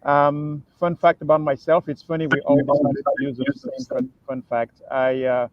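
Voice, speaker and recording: A man's voice: a little high, animated, normal speed, dry recording. A man in his fifties from Cameroon, a native French speaker, introducing himself courteously and formally over a video call. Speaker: sales director, panelist. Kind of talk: business panel introduction. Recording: dull dry video-call audio.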